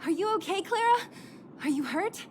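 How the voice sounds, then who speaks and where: A woman voicing a brash, protective young female fighter in a video game, blunt and expressive. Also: extremely high pitch, normal speed. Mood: alarmed. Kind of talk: video game cutscene voice acting.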